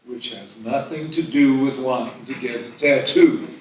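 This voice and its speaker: A man acting a stubborn, deadpan old husband in a comic live table read. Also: mid-range voice, measured pace, muffled recording, natural voice. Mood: dry, insistent. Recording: echoey room, distant mic, background noise.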